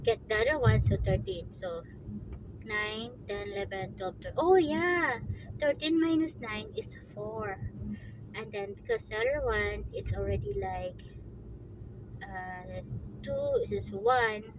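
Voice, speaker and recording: A woman's voice: mid-range voice, measured pace. A woman in her forties with a Filipino accent and Tagalog as her first language, chatting casually to viewers in a rambling, giggly, self-questioning way. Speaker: vlogger. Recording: muffled, loud vehicle and road noise.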